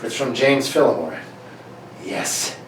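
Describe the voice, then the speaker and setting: A man's voice: mid-range voice, animated, takes it slowly. A man playing a bossy, blustering police inspector and father, sputtering through an amateur comedic radio play reading. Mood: flabbergasted. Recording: very echoey room, distant mic, faint noise.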